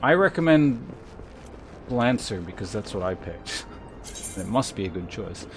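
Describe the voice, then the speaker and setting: A man's voice: on the low side, very animated, measured pace, faint music behind. Wry male game streamer, casual. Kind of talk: casual live stream chat.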